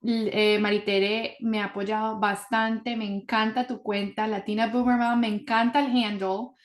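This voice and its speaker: A young woman born in Colombia and raised in South Florida, a school psychologist telling her story as a podcast guest, animated and full of fillers. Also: medium pitch, unhurried, roomy sound. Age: young adult. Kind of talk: casual interview.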